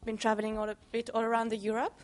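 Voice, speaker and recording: A woman's voice: fairly high pitch, completely flat delivery, racing. A woman from Belgium with a Belgian accent, asking a careful question from the audience and sometimes searching for her words.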